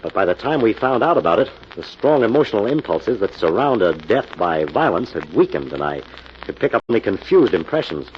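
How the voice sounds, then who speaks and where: Composed sleuth, low voice, lively intonation, analytical, radio mystery drama. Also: male voice, fairly quick. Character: composed, analytical male amateur sleuth with laboratory. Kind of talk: 1940s radio detective drama acting. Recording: muffled, hissy old radio recording.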